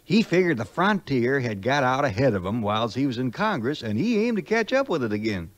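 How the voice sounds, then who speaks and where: Actor as lively homespun Southern frontier sidekick. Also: male voice, medium pitch, brisk, lightly acted. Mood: folksy, reflective. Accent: American Southern. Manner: rustic, colloquial storytelling. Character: loyal, homespun frontier sidekick telling the tale. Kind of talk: 1950s audio play narration and acting.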